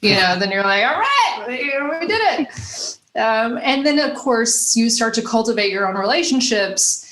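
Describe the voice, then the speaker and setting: A woman's voice: a little high, sing-song, normal speed, a bit bright, a little hiss or hum. A woman from Nashville with a faint Southern accent, talking through her stories as a guest comedian in a loose, rambling way, full of "you know" and "like.". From Nashville, Tennessee. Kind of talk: casual interview chat. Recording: roomy echo.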